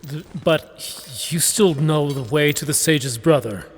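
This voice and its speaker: A man acting in an audio drama as a haunted, exhausted traveller, halting and stammering. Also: fairly high pitch, exaggerated voice. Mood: hesitant. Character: haunted, freezing, exhausted young-hearted male traveller. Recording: clearly noisy, windy ambience.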